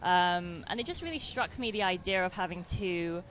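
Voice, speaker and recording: A woman's voice: on the low side, normal speed, a bit bright, a little hiss or hum, rough recording. A young adult woman from England with an English accent, answering interview questions as a film director, hesitant with many fillers and restarts.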